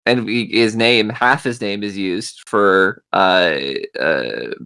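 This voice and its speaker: A man's voice: on the low side, slow, vocal fry, bright, forceful tone. A man in a casual panel discussion, arguing his case with examples as a podcast panelist.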